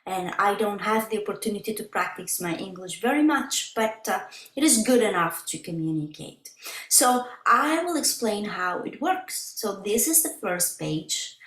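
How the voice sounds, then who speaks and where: A woman whose first language is Spanish, walking through her website on a screen share in slow, halting English with many fillers and restarts. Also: medium pitch, lively intonation, fairly bright tone, roomy sound, poor audio. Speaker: crystal healer presenting her website.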